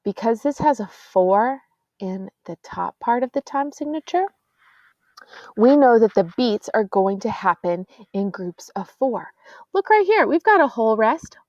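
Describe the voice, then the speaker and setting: A choir teacher, patiently explaining sheet music to middle school singers and counting beats aloud. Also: female voice, on the high side, big pitch swings, unhurried, a little mellow, dry recording. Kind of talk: classroom music lesson. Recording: dull, dry lo-fi audio.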